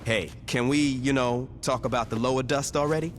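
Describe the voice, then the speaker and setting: A man's voice: medium pitch, steady tone, brisk, a little hiss or hum, natural voice. A man playing a tough gang leader in a video game, blunt, dry and sarcastic. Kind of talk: video game cutscene acting.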